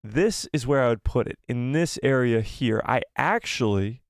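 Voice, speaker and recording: A man's voice: medium pitch, lively intonation, moderate pace, vocal fry, no room sound, clean studio sound. A man hosting a live fan stream, giving firm opinions, reading viewer replies aloud, and losing his voice. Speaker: host of a live fan show.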